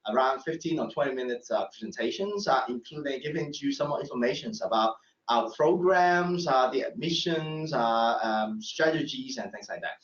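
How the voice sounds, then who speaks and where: A middle-aged man, a professor giving a live admissions webinar, speaking haltingly with many fillers and restarts. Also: mid-range voice, lively intonation, fairly bright tone. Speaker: professor presenting admissions talk. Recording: echoey room, distant mic, dull sound.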